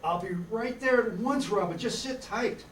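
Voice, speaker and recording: A woman's voice: on the high side, moderate pace, natural voice. A woman playing a mild-mannered, friendly male newspaper reporter in an amateur radio drama. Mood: concerned. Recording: distant echoey room, faint noise.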